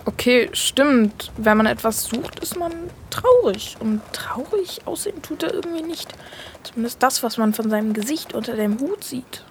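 Highish-voiced, timid, worried child. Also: female voice, expressive, moderate pace, slight background noise. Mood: pensive. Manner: hesitant, worried, doubting. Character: cautious, anxious child, timid sidekick to a bolder friend. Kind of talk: children's audio drama acting.

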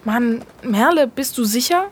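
A timid child with a fairly high voice in a children's audio drama. The delivery is hesitant, worried and doubting, with faint background noise. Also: female voice, animated, measured pace. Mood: alarmed. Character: cautious, anxious child, timid sidekick to a bolder friend.

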